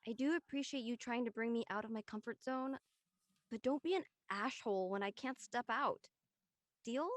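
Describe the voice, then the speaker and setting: A woman's voice: a little high, moderate pace, dry recording, close to an everyday voice. A woman playing an uptight, driven teenage sister, blunt and earnest, in a scripted drama. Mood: earnest, stressed.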